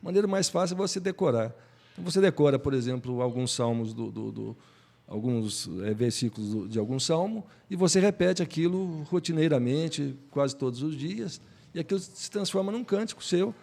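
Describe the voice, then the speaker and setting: Older male Bible study panelist, very creaky voice, halting conversational delivery. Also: fairly high pitch, darkish tone. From Brazil. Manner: halting, searching for words, repeats words. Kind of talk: conversational religious discussion.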